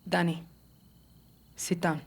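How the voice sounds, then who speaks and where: A woman's voice: fairly low pitch, flat delivery, slow, vocal fry, breathy edge, full character voice. A woman playing an angry, blunt and fearless guerrilla in a video game. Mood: tense. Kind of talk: cinematic video game acting. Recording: faint background noise.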